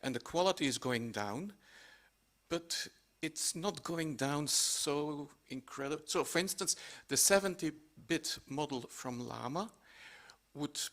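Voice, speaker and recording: Gravelly-voiced man explaining on a panel. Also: a little high, expressive, measured pace, a bit bright. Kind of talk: spontaneous technical explanation.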